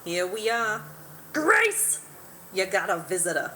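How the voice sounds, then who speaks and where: An actress playing a brusque, skeptical prison matron with a gruff, streetwise manner in a radio play. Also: female voice, mid-range voice, wildly swooping pitch, slow pace, pushed and bright, a little hiss or hum, heavily acted, low-quality recording. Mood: grudging, wry.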